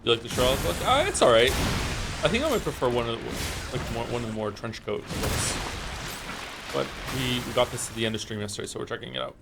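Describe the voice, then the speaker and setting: A man with a slightly croaky voice streaming a video game, thinking aloud and correcting himself as he reads game text and chats. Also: medium pitch, animated, a little fast, background noise. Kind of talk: casual gameplay commentary, reading game text aloud.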